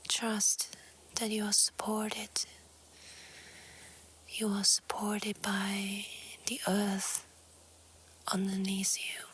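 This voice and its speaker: A woman with a soft, breathy, whispery voice calmly guiding a relaxation meditation in short, gentle phrases. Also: medium pitch. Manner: calm, hushed, gentle, with short pausing phrases. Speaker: yoga nidra meditation guide. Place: outdoors in nature. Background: faint natural ambience.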